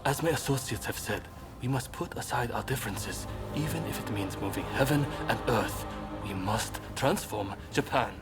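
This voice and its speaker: Actor as an eloquent, dignified samurai reformer. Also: male voice, mid-range voice, moderate pace, few pauses, music in the background, natural voice. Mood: earnest. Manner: polite, formal, eloquent. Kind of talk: video game cutscene acting.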